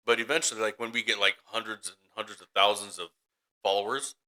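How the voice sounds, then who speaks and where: Guy chatting on a podcast w his brother, really bright voice, goes off on tangents n laughs a lot. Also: lowish voice, fast. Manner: rambling storyteller, laughs a lot, acts out voices in anecdotes. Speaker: podcast co-host. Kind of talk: casual chat between brothers.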